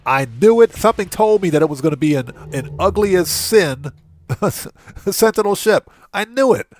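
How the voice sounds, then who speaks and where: A man's voice: high-pitched, lively intonation, normal speed, no echo. A man hosting a casual gameplay livestream, commenting in exclamations and emphatically repeated short phrases. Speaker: livestream host.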